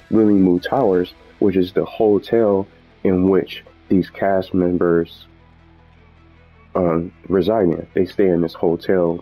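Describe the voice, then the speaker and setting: A man's voice: low-pitched, warm tone. A man giving casual, unscripted commentary as a gaming video host, rambling and often repeating and rephrasing himself. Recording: dull lo-fi mic with background music.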